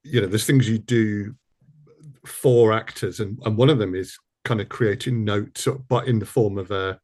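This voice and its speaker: A man with a slightly deep voice hosts a conversational interview. He phrases his questions haltingly, doubling back on words as he goes. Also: a little mellow. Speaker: interviewer and producer.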